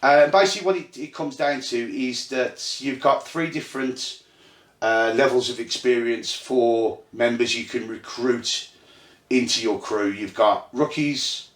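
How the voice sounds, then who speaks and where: An Irish-born man with a Birmingham accent talks through a tabletop game setup, pausing often with ums. His voice sits in a middling register. The recording is an echoey room captured on a phone. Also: mid-range voice. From Ireland. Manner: chatty, halting with fillers, self-deprecating. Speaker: host and game runner. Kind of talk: casual rules explanation. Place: indoor room at a gaming table.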